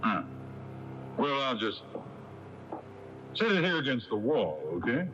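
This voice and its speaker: Overbearing, folksy middle-aged father, very lively intonation; 1980s radio drama with faint noise. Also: medium pitch, slow. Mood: pushy. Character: hearty, pushy, hard-drinking gun-loving father. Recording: dull, noisy taped AM radio broadcast.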